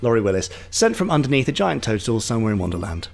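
A man's voice: medium pitch, very animated, fast, gravelly, faint music behind. A man co-hosting a podcast, reading a listener's letter in a playful, giggly way. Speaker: podcast co-host.

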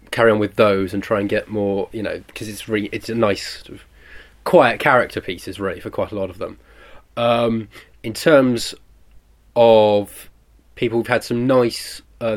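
A British man in his twenties being interviewed as a producer, chatting casually in a modest, rambling way and correcting himself. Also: fairly low pitch. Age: 20s.

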